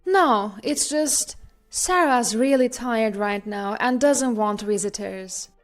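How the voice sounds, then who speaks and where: A woman's voice: a little high, takes it slowly, faint music behind. A woman voicing a shy, uneasy man in his late twenties, hesitant and apologetic, in a narrated story. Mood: uneasy, evasive.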